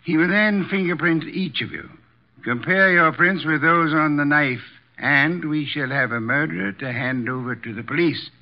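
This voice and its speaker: An actor playing an imperious, precise detective mastermind with pompous, formal diction in 1950s radio drama style. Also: male voice, fairly high pitch, normal speed, lightly acted. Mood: brisk, commanding. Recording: dull old radio broadcast recording.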